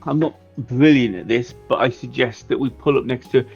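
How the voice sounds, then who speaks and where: A man's voice: mid-range voice, normal speed, vocal fry, darkish tone, husky. A man talking casually as a player in a tabletop game, planning moves and putting questions to the game master. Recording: slightly dull audio with background music. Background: music.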